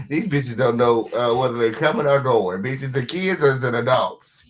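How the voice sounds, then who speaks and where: A man's voice: mid-range voice, normal speed. A man hosting a live stream, mocking the guest in crude terms and repeating his catchphrases. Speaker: host.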